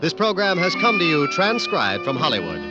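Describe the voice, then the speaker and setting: A man's voice: on the high side, sing-song, moderate pace. Polished vintage radio announcer, man. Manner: polished, declamatory. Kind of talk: formal broadcast announcing. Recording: muffled vintage radio broadcast with loud music.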